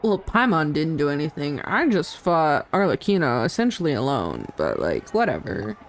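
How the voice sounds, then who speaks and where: A woman's voice: sits low, very animated, moderate pace. A woman livestreamer chatting casually and reacting while gaming, playful, blunt and sweary. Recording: livestream with faint background noise.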